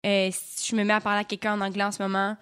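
A young woman speaks in a Québécois French accent, relaxed and talkative as a guest in an interview. She hesitates often and strings thoughts together loosely. Her voice sits at an ordinary middle pitch. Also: fast talker, clean tone. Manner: chatty, full of fillers like "comme" and "euh". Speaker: actress guest. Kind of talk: casual interview chat.

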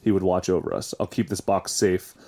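Man, low-pitched voice, podcast host, casual conversational delivery, informal and loose. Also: little pitch movement, a little fast, mellow. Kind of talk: casual chat, reading listener confessions aloud.

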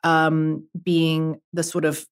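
A woman's voice: low voice, monotone, takes it slowly, smooth. A young woman, a guest artist, talking thoughtfully on a podcast, with many fillers and restarts. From half Emirati. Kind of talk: podcast conversation.

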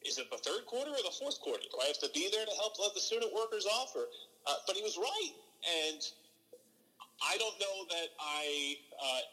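Middle-aged male play-by-play man telling stories earnestly, voice a bit high. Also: normal speed, long reverb tail, poor audio. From from New Jersey. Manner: earnest, animated, frequent restarts. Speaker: baseball play-by-play broadcaster, podcast guest. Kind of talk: relaxed interview storytelling.

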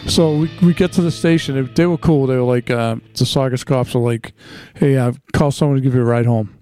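A man, telling anecdotes in a plainspoken, halting, casual way. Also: mid-range voice, fairly even intonation, mellow, clean tone, soft background music. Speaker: podcast co-host.

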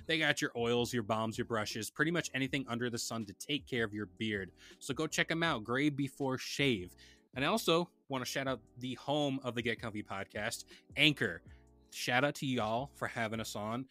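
A man's voice: medium pitch, normal speed, pushed and bright, soft background music. A man hosting a casual podcast, chatting in a laid-back, rambling way and reading sponsor plugs. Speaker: podcast host.

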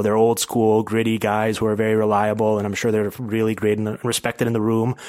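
A man hosting a casual sports podcast, explaining his points in a rambling, slightly hesitant way. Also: on the low side. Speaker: podcast host and hockey writer.

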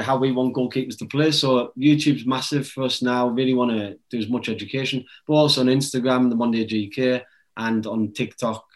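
A man who is a guest goalkeeping coach, speaking in a relaxed, halting way with many fillers in a casual podcast chat. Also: medium pitch.

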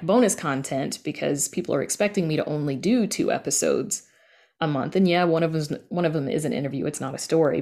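A woman's voice: low voice, vocal fry, a little mellow. A middle-aged woman giving candid, chatty answers as a guest in a casual interview. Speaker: interview guest, podcast creator.

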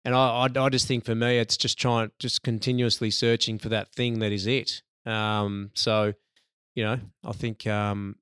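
Podcast co-host, smooth clear voice, earnest, halting, dry sound. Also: male voice, on the low side, flat delivery, fairly bright tone, dry recording. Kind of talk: casual podcast chat.

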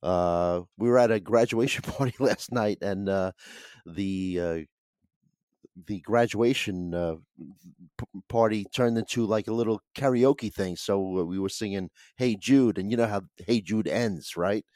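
Low, hoarse man hosting a podcast. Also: measured pace, darkish tone, dry recording, clean studio sound. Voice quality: raspy. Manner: laid-back, chuckling, lots of "uh" fillers. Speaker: podcast host. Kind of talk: casual sports chat.

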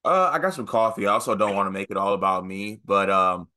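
Man, podcast co-host, sniffles, nasal, very deep, bright and forceful tone. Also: lively intonation, fast talker. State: stuffy nose, sniffles. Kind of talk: casual sports podcast chat.

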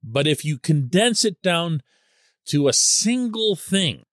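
A man hosting a sports podcast as a columnist, giving solo commentary in an animated, emphatic way. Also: a little high, slow, no echo, clean studio sound. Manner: animated and emphatic, stresses key words.

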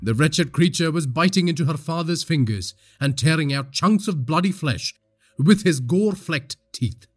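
A man from India narrating a scripted horror story in a husky voice, with dramatic, suspenseful delivery. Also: a little high, animated, moderate pace, faint music behind.

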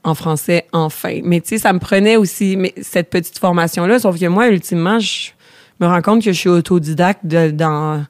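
A woman's voice: fairly low pitch, normal speed, dry recording, clean studio sound. A woman in her thirties with a Québécois French accent, a comedian chatting as a podcast guest, self-deprecating, with many fillers and restarts. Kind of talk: casual podcast conversation.

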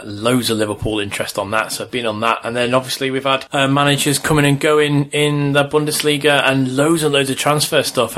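A man's voice: mid-range voice, fairly bright tone. A man co-hosting a podcast, chatting casually about his busy week. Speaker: podcast co-host.

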